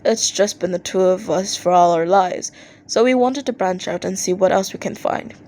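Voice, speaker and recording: A woman reading fan fiction aloud as an expressive amateur audiobook narrator, acting out posts in an animated way. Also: fairly low pitch, moderate pace.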